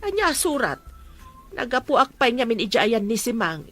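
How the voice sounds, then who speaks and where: A woman's voice: a little high, sing-song, moderate pace, fairly bright tone, soft background music. A woman with an Ilocano accent playing a nagging, doubtful farm mother in a family drama. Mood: doubtful, complaining. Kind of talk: amateur Ilocano radio-style family drama.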